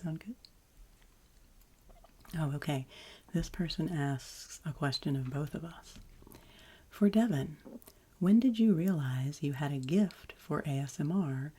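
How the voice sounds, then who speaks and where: Deep, husky woman speaking softly. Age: adult, past college. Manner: slow, gentle, soothing delivery. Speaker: ASMR channel host. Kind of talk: ASMR soft-spoken and whispered chat.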